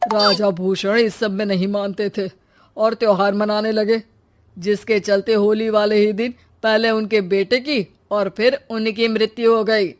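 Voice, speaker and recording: A woman's voice: mid-range voice, flat delivery, unhurried, close to an everyday voice. A woman voicing a cautious older village headman with a hesitant, admonishing manner in a cartoon story. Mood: pleading, grave.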